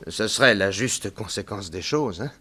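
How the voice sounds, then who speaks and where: A man's voice: lowish voice, animated, pushed and bright, breathy edge, exaggerated voice. An actor playing a mild, sly witness telling his story in a 1960s French radio drama. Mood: insinuating. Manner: measured, explanatory storytelling.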